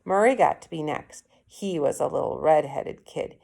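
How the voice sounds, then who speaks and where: A woman's voice: sits low, moderate pace, creaky, darkish tone. A woman reading a children's story aloud as a first-person narrator. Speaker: read-aloud reader.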